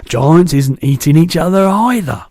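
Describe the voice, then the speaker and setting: A man reading aloud and voicing a big, kindly male giant who mangles his words, in a louder and higher voice than his own. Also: lively intonation, unhurried, dry recording, studio quality. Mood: earnest. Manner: jumbled made-up words, booming and eager.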